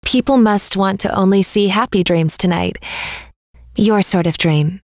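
A woman's voice: medium pitch, unhurried, a little mellow, no room sound, clean studio sound, lightly acted. A woman voicing a gloomy, reserved nightmare fairy in an English fantasy game, subdued, aloof and a little hesitant.

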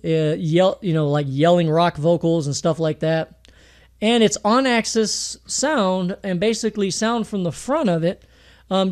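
A man's voice: high-pitched, darkish tone. A man with a thin voice teaching mixing in a home studio, talking casually with fillers and restarts. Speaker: music producer teaching mixing. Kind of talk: screen-recorded tutorial talk.

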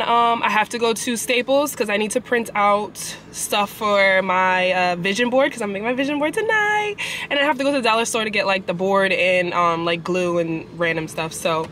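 A young woman vlogging from her car, chatty and animated, sounding nasal after a cold. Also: fairly high pitch. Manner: chatty, animated, swears casually. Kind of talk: casual vlog chat. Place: parked car at a traffic light. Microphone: camera on the dashboard. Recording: car with street noise behind.

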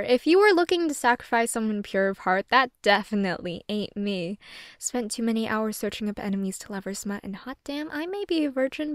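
A woman's voice: on the high side, dry recording, studio quality. A young adult woman playing a sardonic, flustered, self-deprecating young woman who rambles and swears casually in an audio roleplay monologue.

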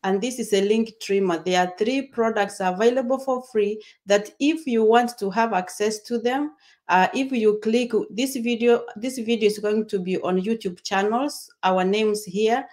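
A woman's voice: mid-range voice, a little mellow. Upbeat Kenyan-born woman hosting a call. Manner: upbeat, quick explanatory talk. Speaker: host. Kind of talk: video call interview. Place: home room. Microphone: webcam on video call.

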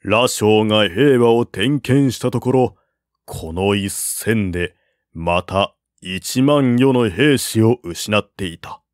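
A man narrating a classical war novel as an audiobook, in a measured, even storytelling manner. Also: lowish voice, no echo, studio quality.

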